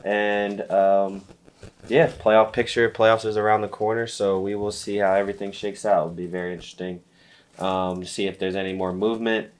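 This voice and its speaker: A stuffy-nosed man with a lowish voice and little rise or fall in his pitch. He runs a laid-back fantasy football chat, drifting through fillers and easy chuckles. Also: normal speed. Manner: relaxed, lots of fillers and light laughs. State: stuffy from allergies. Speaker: podcast co-host.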